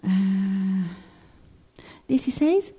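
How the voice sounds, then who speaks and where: A woman's voice: medium pitch, animated, drawn-out words, dark tone, slightly rough, exaggerated voice. A woman playing someone who ponders each reply, in a radio drama workshop exercise. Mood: pondering.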